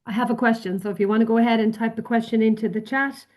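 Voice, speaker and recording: A woman's voice: fairly high pitch, fairly even intonation, quick pace, a little mellow. Woman moderating a live online session. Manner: conversational, addresses the audience directly. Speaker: webinar moderator.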